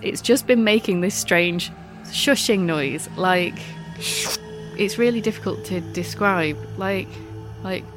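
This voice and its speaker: Stuffy-nosed woman, low voice. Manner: wry, self-mocking storytelling. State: stuffy nose after illness. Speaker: podcast host. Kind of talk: scripted podcast monologue.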